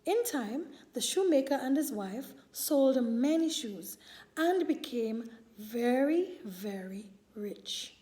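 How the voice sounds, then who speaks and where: Female storyteller, high and soft-toned, taking her time over a picture book for little kids. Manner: deliberate, expressive delivery for young children. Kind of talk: children's read-aloud storytelling.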